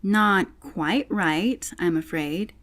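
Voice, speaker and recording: A woman's voice: lowish voice, very slow, fairly bright tone. A woman voicing a polite, timid, easily flustered little girl in a storybook, with a hesitant manner.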